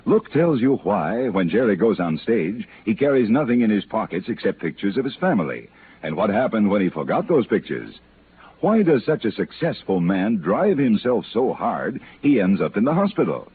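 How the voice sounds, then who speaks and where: A man's voice: fairly low pitch, very animated, moderate pace, slight background noise. A man working as a radio announcer, reading scripted narration and ads in a 1950s style. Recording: tinny old radio broadcast with music.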